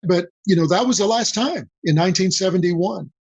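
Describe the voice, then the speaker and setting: Older husky man from Mexico, measured. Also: sits high, darkish tone, dry recording. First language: Spanish. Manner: measured, repeats key phrases for emphasis. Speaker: guest, senior advisor. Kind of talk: podcast interview. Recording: dull dry lo-fi audio.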